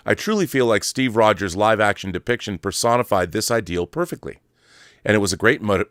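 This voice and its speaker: Male podcast host, lowish voice, theatrical and wordy, dry close sound. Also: a bit bright, smooth, no room sound. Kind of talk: casual solo commentary and letter reading.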